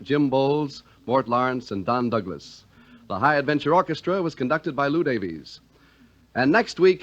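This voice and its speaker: A man working as a vintage radio announcer, with very lively intonation and polished dramatic phrasing. The sound is muffled, like an old broadcast recording. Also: medium pitch. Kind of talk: 1940s-50s radio announcing, scripted narration and ad.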